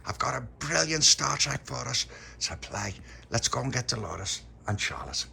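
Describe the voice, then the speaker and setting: A man voicing an eager, excitable little male puppy in a storybook. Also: mid-range voice, moderate pace, vocal fry, a little hiss or hum, voice pushed up, exaggerated voice. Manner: bouncy, enthusiastic.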